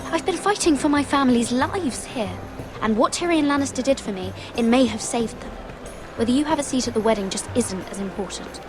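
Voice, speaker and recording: Voice of an actress as a composed young highborn woman in a fantasy game. She is guarded but firm, with a fairly high pitch. Music sits behind her words. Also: a little fast, close to an everyday voice. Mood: firm, defensive. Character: composed, proud young noblewoman serving as handmaiden. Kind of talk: video game drama acting.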